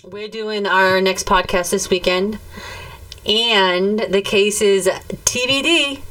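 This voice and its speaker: A woman's voice: medium pitch, takes it slowly, a bit bright, far from the mic, a little hiss or hum, rough recording. A woman co-hosting a casual podcast, matter-of-fact and teasing, recorded a little away from the microphone. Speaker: podcast co-host. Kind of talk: casual chat.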